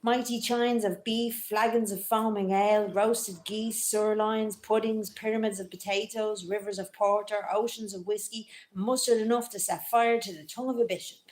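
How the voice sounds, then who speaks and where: A woman's voice: medium pitch, steady tone, normal speed, few pauses, darkish tone. A woman reading grand, declamatory mock-heroic narration at a live event. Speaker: reader. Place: community centre hall, live event.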